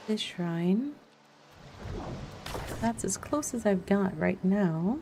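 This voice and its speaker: A woman's voice: mid-range voice, creaky, dark tone, a little hiss or hum. A woman streamer giving casual live gameplay commentary, chatty and thinking aloud as she goes. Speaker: game streamer.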